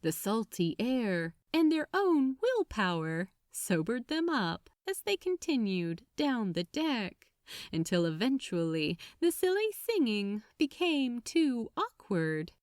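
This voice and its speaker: A woman narrates fiction in a measured, expressive way. Her pitch rises and falls freely over a slow pace, in a close, dry recording. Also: no room sound. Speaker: audiobook-style fan fiction narrator. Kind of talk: scripted story narration.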